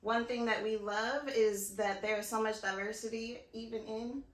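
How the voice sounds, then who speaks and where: A woman's voice: fairly high pitch, steady tone, moderate pace. A young American woman co-hosting a podcast in casual chat, playful and laughing often, talking to listeners as y'all. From United States. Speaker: podcast co-host. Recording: roomy sound from a distant mic.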